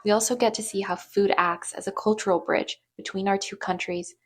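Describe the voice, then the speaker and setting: A young woman reading scripted narration in a measured, earnest way. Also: medium pitch, fairly even intonation.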